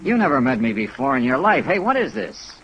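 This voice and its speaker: An actor playing a brisk, skeptical insurance investigator in a 1950s radio drama, clipped and questioning as he narrates and interrogates. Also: mid-range voice, breathy edge, muffled recording. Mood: suspicious, irritated. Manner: clipped, hard-boiled, questioning. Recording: vintage radio recording under rain noise. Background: steady rain sounds.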